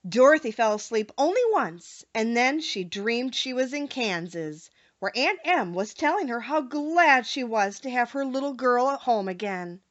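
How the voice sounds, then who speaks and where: Animated woman narrating a storybook. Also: fairly high pitch, moderate pace, hardly any pauses, lo-fi sound. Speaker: audiobook narrator.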